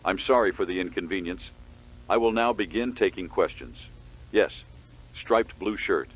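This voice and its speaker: A man's voice: deep voice, moderate pace, breathy edge, muffled recording. A man playing a guarded, apologetic government spokesman holding a press briefing. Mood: guarded, official.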